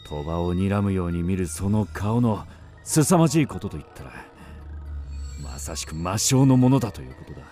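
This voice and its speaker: Radio drama role: blunt, superstitious ancient warrior, coarse rustic speech, very animated pitch, music underneath. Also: male voice, moderate pace. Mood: grave, hushed. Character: rough, wary, superstitious warrior of old Japan.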